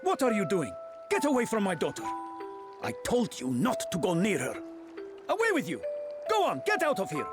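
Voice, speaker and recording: An actor playing a haughty, protective parent in a game, curt and snobbish. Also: male voice, high-pitched, huge pitch swings, normal speed, pauses often, music in the background. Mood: angry. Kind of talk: dramatic video game voice acting.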